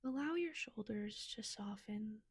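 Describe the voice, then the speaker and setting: A woman leading a guided meditation in a gentle, soothing, soft and breathy manner. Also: medium pitch, animated, measured pace, no echo.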